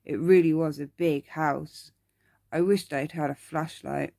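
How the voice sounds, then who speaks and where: A woman reading a bedtime story in ASMR style, deliberately and with the odd stumble over words. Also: low voice, steady tone, vocal fry, mellow, dry recording, studio quality.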